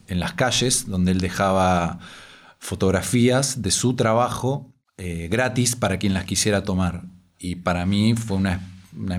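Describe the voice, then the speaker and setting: Low-voiced Argentine man, halting and thoughtful. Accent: Argentine Rioplatense Spanish. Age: 30s. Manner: thoughtful, halting, repeats words as he searches for them. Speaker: street poet, interviewee. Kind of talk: reflective personal interview.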